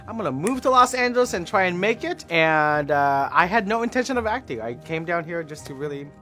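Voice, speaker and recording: A man's voice: a little high, sing-song, brisk, bright, forceful tone, soft background music. A man, a comedian, telling his story animatedly in an interview.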